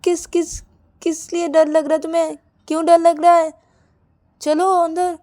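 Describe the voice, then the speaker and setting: A woman's voice: a little high, sing-song, mellow, pitched above the performer's own voice. A woman voicing a bossy, hot-tempered young bride in an audio story, scolding and demanding answers. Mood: insistent, puzzled. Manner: demanding, scolding, rapid questioning. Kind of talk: acted dialogue in narrated audio story.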